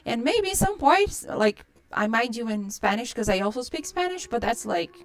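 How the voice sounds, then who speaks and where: A woman's voice: a little high, very animated, moderate pace. A woman with a Brazilian accent, a native Portuguese speaker, chatting casually and in a rambling way to livestream viewers as a storyteller host. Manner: rambling, peppered with "like".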